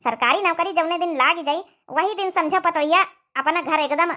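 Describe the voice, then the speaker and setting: A woman acts out an eager older village mother-in-law for a cartoon comedy. The voice is extremely high and bright. She chatters and fusses in a rustic Awadhi accent. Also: moderate pace. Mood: coaxing. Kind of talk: Hindi-Awadhi cartoon family comedy dialogue.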